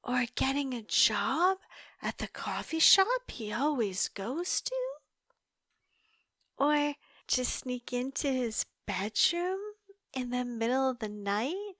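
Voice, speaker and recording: Yandere girlfriend type, young adult played by a woman, audio roleplay; very slow, animated delivery, coy, obsessive and fragile. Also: high voice, dry recording, full character voice. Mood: shy, yearning. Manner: coy, halting, breathy hushed asides, emotional swells. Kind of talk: audio roleplay monologue, intimate dramatic acting.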